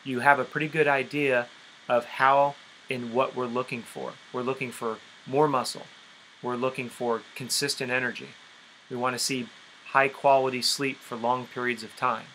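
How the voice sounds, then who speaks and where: A man with a bright, forceful voice leads a group conference call as a fitness coach. He explains things step by step and often checks that listeners follow. There is faint noise on the recording. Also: mid-range voice, level tone, moderate pace, rough recording. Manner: explanatory, checks understanding with frequent okay. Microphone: conference call line.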